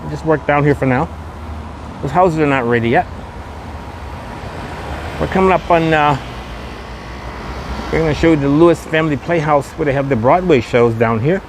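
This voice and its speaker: A man hosting a casual walking tour outdoors, talking in repetitive promotional patter. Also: mid-range voice. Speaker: podcast host and walking tour guide. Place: outdoors on a shopping mall street. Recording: noisy outdoor street with passing cars.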